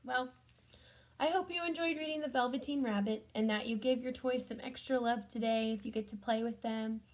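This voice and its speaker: Higher-pitched woman reading to children. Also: normal speed. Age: adult. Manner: gentle child-directed questions and comments. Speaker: storybook reader for children. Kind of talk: read-aloud storytelling with chatty asides to young listeners.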